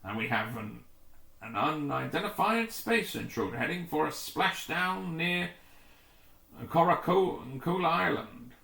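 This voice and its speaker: A man's voice: on the high side, animated, measured pace, gravelly, pushed and bright, roomy sound, full character voice. A man voicing a space station officer urgently reporting danger in a sci-fi adventure story.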